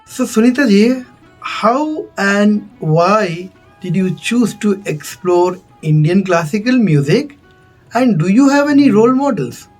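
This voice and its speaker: A man's voice: sits high, animated, a little slow, faint music behind. A man hosting an interview, asking questions courteously and addressing his guest with an honorific. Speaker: interview host.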